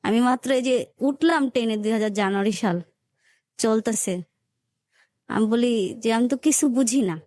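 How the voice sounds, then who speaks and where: A young Bangladeshi woman speaks in a high voice, recorded close and dry. She tells a personal story in everyday colloquial language, slipping into reported dialogue as she narrates. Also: normal speed, long pauses, a little mellow, dry recording. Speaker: guest telling her life story.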